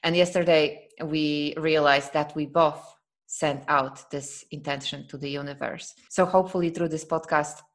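Low-voiced earnest woman from Poland. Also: fairly even intonation, moderate pace. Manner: earnest and reflective, laughs often. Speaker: podcast host. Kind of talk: casual podcast chat.

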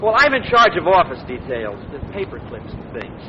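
An actor playing a sly, teasing, wisecracking office clerk in 1940s radio comedy, with a sarcastic manner. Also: male voice, fairly high pitch, huge pitch swings, a little fast. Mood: wry. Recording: muffled, noisy old radio, crowd behind.

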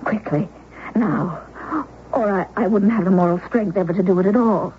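A woman's voice: medium pitch, moderate pace, lo-fi sound, background noise, close to an everyday voice. A woman playing a lovesick, intense young woman who tells of her doomed romance in a dramatic, confessional radio drama style. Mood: anguished, resolute. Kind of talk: old-time radio drama, first-person narration and dialogue. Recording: thin old radio broadcast, music behind.

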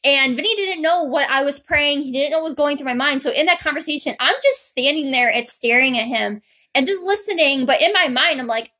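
A woman's voice: sits high, a little fast, bright, forceful tone. A woman host telling a personal story in casual conversation, informally acting out her own quoted words.

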